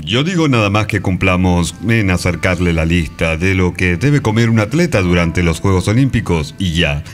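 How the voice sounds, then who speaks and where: Male, slightly low, animated pitch; confident, wry guide played by an actor in comic radio theatre. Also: normal speed. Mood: assured, wry. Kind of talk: comic radio play dialogue.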